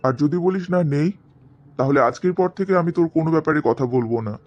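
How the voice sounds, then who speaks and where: A man's voice: a little high, fast talker, dull audio, natural voice. A man playing a protective, insistent middle-aged father in a cartoon drama. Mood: stern, hurt. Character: protective, stern father, middle-aged, guilt-tripping his daughter.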